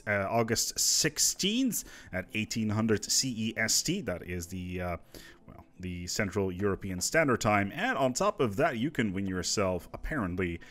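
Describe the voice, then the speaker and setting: A man's voice: on the low side, a bit bright. A man presenting casual gaming news commentary in an informal, conversational, musing manner.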